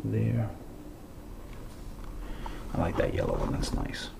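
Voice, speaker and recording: A man's voice: lowish voice, huge pitch swings, slow pace, vocal fry, dark tone, slight background noise. A man with a stuffy nose showing his toy car collection at home in a rambling, chatty way, full of fillers and restarts. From Massachusetts, USA. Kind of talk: casual vlog.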